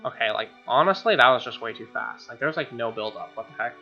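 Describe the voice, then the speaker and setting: A man's voice: medium pitch, quick pace, creaky, pushed and bright. A young man reading game text aloud as a let's play host, with irreverent, slangy commentary. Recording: dull lo-fi mic, music behind. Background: game music with guitar.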